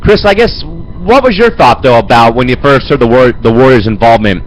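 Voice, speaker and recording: Raspy, hoarse young man hosting. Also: mid-range voice, animated, a little fast, poor audio. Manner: rambling, fills with "man" and "Chris". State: hoarse with a head cold. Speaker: host. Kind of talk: amateur sports show hosting. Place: home studio.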